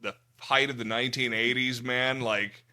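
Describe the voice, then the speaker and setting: A man's voice: medium pitch, fairly even intonation, moderate pace, sharp tone, clear, resonant tone. A man hosting a podcast, chatting casually about movies and freely swearing. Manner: crude, profane. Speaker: podcast host.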